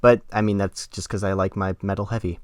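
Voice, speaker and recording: A man's voice: low-pitched, level tone, fairly quick, clear, resonant tone, dry recording, clean studio sound. A man co-hosting a podcast, giving casual, conversational reviews. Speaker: podcast co-host reviewing music. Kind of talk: casual chat, music review.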